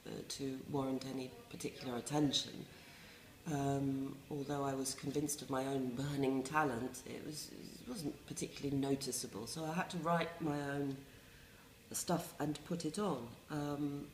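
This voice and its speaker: A middle-aged English woman, a resident playwright, talking reflectively in a wry, self-mocking way with many pauses and restarts. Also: rumbling low, a little mellow, reverberant, slight background noise, rough recording. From England. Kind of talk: reflective interview talk.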